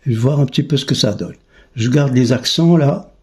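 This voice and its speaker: A man's voice: medium pitch, normal speed, a little mellow. A man giving a casual, hands-on tutorial as a teacher, thinking aloud in a hesitant way as he goes. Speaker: music teacher, channel host. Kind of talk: casual spoken tutorial demonstration.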